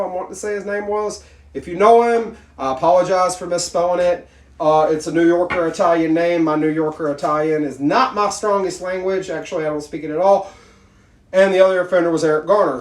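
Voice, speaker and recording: Man, high voice, blunt casual instructor, distant mic, echoey room. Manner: blunt, plainspoken, asks the partner questions. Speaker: self-defense instructor.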